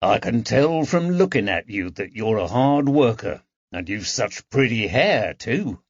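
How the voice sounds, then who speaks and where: Man reading a kindly constable, soft coaxing questions, animated pitch, dry dull sound. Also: a little slow, slightly rough, no echo. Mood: gentle. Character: gentle, patient male police constable. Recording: dull, dry audiobook recording.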